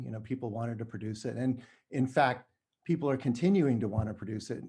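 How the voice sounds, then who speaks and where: A middle-aged man from New Mexico being interviewed, talking casually and haltingly, often correcting himself. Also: mid-range voice, mellow. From New Mexico, United States. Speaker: podcast guest, writer.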